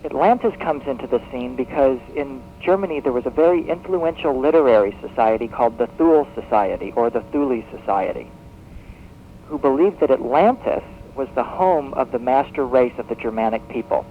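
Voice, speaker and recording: A man speaking as a guest expert in a radio interview, explaining in a halting, expository way and repeating words as he goes. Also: medium pitch, lively intonation, narrow, tinny audio. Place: radio broadcast, taped off air. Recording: thin, noisy shortwave radio broadcast on cassette.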